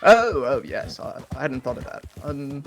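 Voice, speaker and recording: A man playing a polite, stranded gentleman traveller who stammers and hesitates, with many fillers and formal phrasing. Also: mid-range voice, big pitch swings, normal speed, gravelly, a crowd in the background. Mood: polite, flustered. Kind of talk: role-play in a western game.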